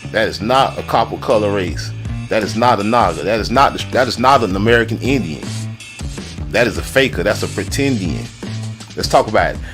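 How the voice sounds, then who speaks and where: Loud excitable baritone man over music. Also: brisk. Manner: excitable, joking, slangy and profane. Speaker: streamer host. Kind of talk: loud ranting commentary. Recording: music playing underneath.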